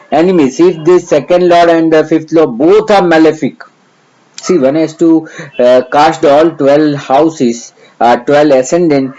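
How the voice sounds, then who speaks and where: A man's voice: fairly high pitch, mellow, muffled recording. A middle-aged man from India with a Gujarati accent, teaching astrology in a hesitant, earnest way with many fillers. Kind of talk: lecture.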